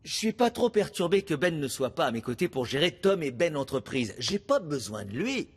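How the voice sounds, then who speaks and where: A man's voice: a little high, sing-song, normal speed, slightly rough. A man playing a cocky, enthusiastic young cat entrepreneur in a French-dubbed children's cartoon, with self-assured patter. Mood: defiant.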